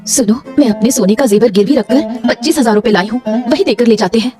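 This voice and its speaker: A woman's voice: on the high side, quick pace, over music, close to an everyday voice. A woman voicing a dramatic, emphatic female character in a moral-story cartoon. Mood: pleading. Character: animated storybook women: princess, wife, sweet seller.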